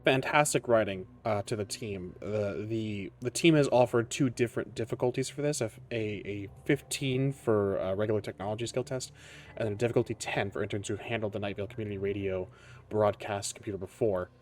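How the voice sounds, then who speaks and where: A man's voice: lowish voice, a little fast. A man acting as game master, narrating a tabletop roleplaying game with casual asides.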